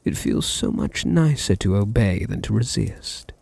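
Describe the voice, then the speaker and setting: Man acting as male vampire master, British accent, slow teasing delivery, gravelly, possessive, silky menace, intimate ASMR roleplay. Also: lively intonation, a little mellow, a little hiss or hum, heavily acted. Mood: soft menace. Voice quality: deep, husky, gravelly. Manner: slow, teasing, condescending, drops into breathy murmurs.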